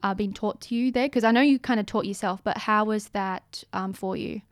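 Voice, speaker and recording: Young woman, interview host, upbeat, curious, mid pitch, dry sound. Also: normal speed, dry recording. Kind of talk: casual interview chat.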